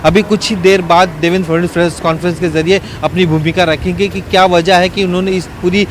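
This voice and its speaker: A man's voice: high-pitched, steady tone. Male field reporter over city traffic noise. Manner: halting, with frequent filled pauses. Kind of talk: unscripted live news report.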